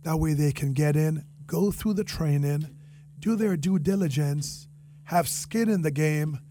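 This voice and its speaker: A man with a Jamaican accent and a fairly high-set voice answers questions as an interview guest. He is earnest and conversational, restating phrases to stress them. A faint hum and noise sit under the recording. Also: a little slow, dark tone.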